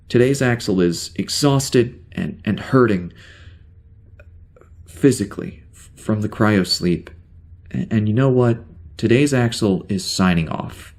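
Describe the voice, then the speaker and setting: An actor playing a bewildered, weary spaceship security officer with amnesia in an audio drama, speaking in a halting, stammering way. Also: male voice, fairly low pitch, a little mellow, full character voice. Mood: exhausted, resigned. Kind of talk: audio drama log monologue.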